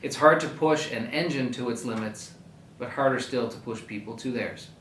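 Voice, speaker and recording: A man delivers a prepared public update as a mayor, measured and plain-spoken with deliberate pauses. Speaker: mayor giving a public address. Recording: echoey room with faint low rumble.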